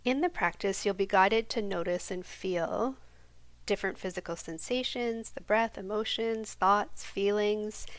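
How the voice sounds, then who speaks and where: Soft-spoken woman meditation guide. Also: medium pitch, no echo, rough recording. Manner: slow, soft and soothing, sometimes half-whispered. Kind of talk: guided yoga nidra meditation.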